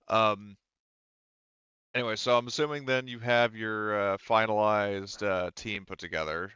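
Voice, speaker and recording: A man running a tabletop roleplaying game as game master, talking casually and pausing often mid-sentence, on slightly dull audio. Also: sits low, a bit bright, clear, resonant tone, no echo.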